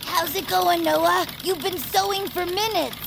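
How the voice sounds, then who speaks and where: An actress playing an encouraging, admiring companion creature in a family audio drama, with a creaky voice. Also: female voice, very high-pitched, measured pace, background noise, full character voice. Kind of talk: family audio fiction acting.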